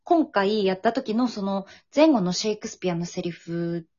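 A woman's voice: mid-range voice, lively intonation, normal speed, mellow. A woman speaking as a guest voice actress in a casual interview chat, often chiming in with short agreement. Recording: dull, band-limited audio.

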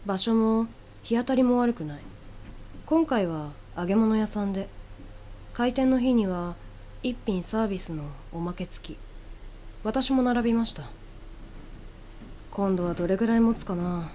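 A woman's voice: mid-range voice, measured pace, pauses often, dark tone, slight background noise. A woman giving a scripted first-person reading of a ghost story as its narrator.

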